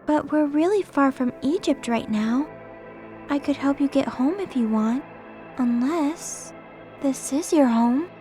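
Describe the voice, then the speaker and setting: A woman plays a kind young female archangel in an audio drama. Her voice is high and she speaks with patient, soothing care, as if coaxing a stranger, over music. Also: measured pace. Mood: gentle, coaxing. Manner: gentle, patient, reassuring.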